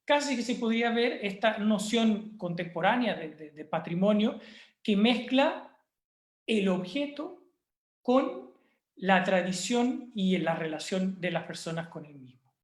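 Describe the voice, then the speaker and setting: A man with a Chilean Spanish accent giving a university course presentation over a video call, thoughtful and pausing with fillers and restarts. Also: high voice, animated, roomy sound. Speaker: university lecturer.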